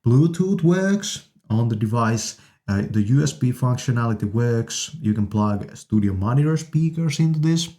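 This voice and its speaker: A man casually demonstrating audio gear as a video host, halting yet enthusiastic, recorded on studio condenser microphones. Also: medium pitch, expressive, dark tone.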